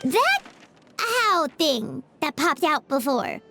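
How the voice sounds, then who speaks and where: Squeaky, excitable fairy companion, very high-pitched. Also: female voice, slow, full character voice. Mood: puzzled, curious. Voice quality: squeaky, childlike. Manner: refers to herself in third person, exclamatory, teasing. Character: small excitable female fairy companion, childlike, cheeky. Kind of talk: English video game dub acting. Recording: music behind the voice. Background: playful game music.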